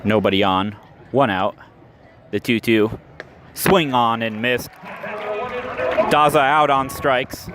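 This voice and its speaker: A man's voice: medium pitch, slow, pauses often, fairly bright tone. A man with an American accent calling baseball play-by-play live for radio. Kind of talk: live radio sports commentary. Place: outdoor minor league ballpark. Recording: outdoor ballpark, crowd and background noise. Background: stadium crowd and ambient noise.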